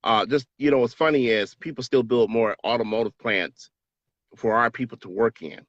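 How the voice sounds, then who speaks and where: A man's voice: mid-range voice, normal speed, fairly bright tone, no room sound. A man speaking earnestly and persuasively as an interview guest, circling back over his words to drive home each point. His voice comes through with a muffled, slightly lo-fi tone and a very dry, close sound with no room around it. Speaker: guest, business association president. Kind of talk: interview answers.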